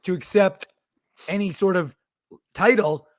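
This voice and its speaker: A man co-hosting a sports talk show who has lost his voice and is hoarse, rambling as he builds up his questions. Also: high voice, measured pace, no room sound, clean studio sound. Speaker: co-host.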